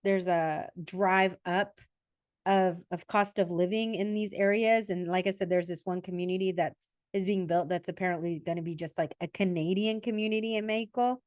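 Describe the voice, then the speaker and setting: A woman in her forties hosting a podcast in a relaxed interview chat, talking with quick asides and self-corrections. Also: lowish voice, no echo. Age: 40s. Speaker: podcast host.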